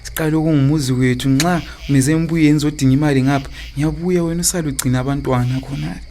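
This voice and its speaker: A man's voice: fairly high pitch, steady tone, racing, dark tone, a little hiss or hum, lightly acted. A man with a Zimbabwean accent playing an evasive, cajoling philandering husband in a radio drama. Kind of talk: radio drama acting in Ndebele.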